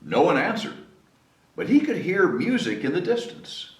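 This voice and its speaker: Very animated man reading a storybook, roomy echo. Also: high voice, moderate pace. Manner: expressive, chatty asides to young listeners. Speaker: storybook reader, city mayor. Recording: roomy echo, distant mic, faint noise.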